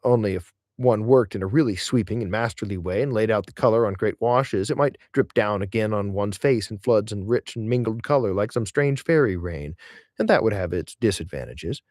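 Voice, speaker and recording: A man's voice: fairly low pitch, moderate pace, darkish tone, no room sound, clean studio sound. A man reading literary essays aloud as an audiobook narrator, with theatrical, emphatic phrasing. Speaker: audiobook reader.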